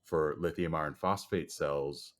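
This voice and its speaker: Very deep-voiced man, flat and steady. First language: Chinese. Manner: measured, frequent "you know" fillers. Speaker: guest, storage company executive. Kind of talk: podcast interview answer.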